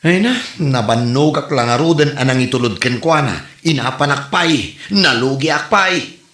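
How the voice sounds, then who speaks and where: A man's voice: medium pitch, moderate pace, a bit bright, close to an everyday voice. A man playing a hapless, complaining tricycle driver in a comedy drama, stammering and exclaiming in exasperation. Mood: exasperated complaining. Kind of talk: comedy radio drama acting. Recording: roomy, echoey sound.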